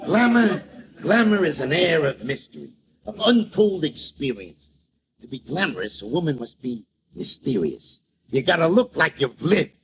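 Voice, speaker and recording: An actor playing a brash, slangy, wisecracking manager sidekick in a 1940s radio comedy. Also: male voice, on the high side, big pitch swings, normal speed. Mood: emphatic coaxing. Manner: slangy, uneducated, emphatic wisecracking. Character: brash, streetwise male manager and sidekick, advising his boss. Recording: muffled old radio recording with hiss.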